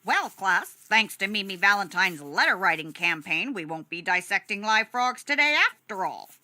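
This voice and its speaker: A woman's voice: mid-range voice, sing-song, normal speed, bright, forceful tone, a little hiss or hum. A woman playing a weary, sardonic schoolteacher in a cartoon, with a dry, mock-polite, theatrical delivery. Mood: dry, controlled. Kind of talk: animated cartoon acting. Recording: music behind the voice.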